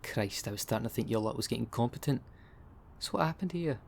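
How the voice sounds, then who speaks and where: A man's voice: mid-range voice, animated, fast talker, vocal fry, a little mellow, husky. A man playing a brash, sarcastic dullahan detective in an audio roleplay, with slangy, teasing talk. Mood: mocking.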